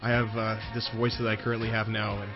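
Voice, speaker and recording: A man co-hosting a sports radio show, joking around and giving animated hockey opinions. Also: fairly low pitch, fast talker. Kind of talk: casual sports radio talk. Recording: dull lo-fi broadcast with faint music.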